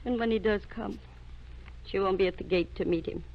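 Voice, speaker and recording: A woman's voice: medium pitch, a little fast, close to an everyday voice. Actress as insistent, emotional radio-melodrama woman. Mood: grieving. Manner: dramatic, insistent. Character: emotional, reproachful woman pleading for a dying girl. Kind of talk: old-time radio melodrama acting. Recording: dull vintage radio recording.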